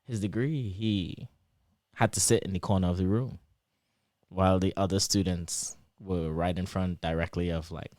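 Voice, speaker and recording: A young man whose Trinidadian accent drifts toward American, a guest explaining things in a lively, casual way with lots of examples and fillers. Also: deep voice, normal speed, dry recording, studio quality. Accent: Trinidadian shifting toward American. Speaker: interview guest, PhD student.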